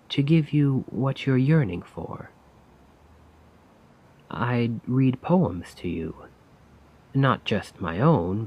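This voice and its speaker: A soft-spoken man playing a melancholy, self-doubting poet in an ASMR roleplay, musing wistfully in formal, poetic words with a breathy voice. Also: medium pitch. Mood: gently hopeful.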